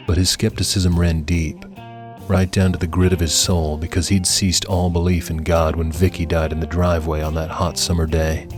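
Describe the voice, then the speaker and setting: Narrator for adult fiction: man with a low register, dramatic scripted read, guitar underscore. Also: level tone, normal speed.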